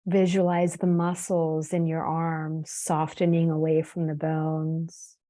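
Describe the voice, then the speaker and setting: A woman leading a guided meditation as a yoga teacher, soothing and unhurried, leaving long pauses between phrases. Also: low-pitched, completely flat delivery, mellow.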